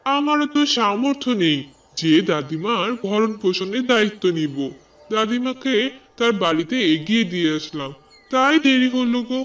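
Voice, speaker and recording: A man's voice: sits high, lively intonation, normal speed, a bit bright, recorded from a distance. A man voicing a kind-hearted, earnest poor village husband in a cartoon fairy tale. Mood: sympathetic.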